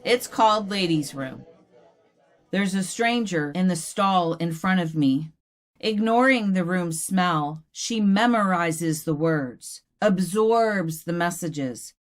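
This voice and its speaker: A woman plays an earnest doll poet at a reading. She recites very slowly in a lowish voice, deliberate and measured with each line. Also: expressive. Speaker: poet reading own work. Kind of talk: poetry recitation.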